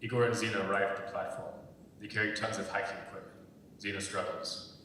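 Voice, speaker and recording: A man's voice: flat delivery, fast talker, sharp tone. A young man narrating the stage directions of a script. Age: young adult. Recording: very echoey hall, distant mic, faint rumbling noise.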